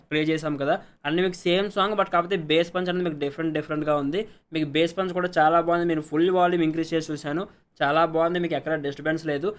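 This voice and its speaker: A man's voice: a little high, smooth. A man giving a casual, step-by-step product review, repeating set phrases and mixing in English words. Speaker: product reviewer, channel host. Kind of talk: casual unboxing and review narration.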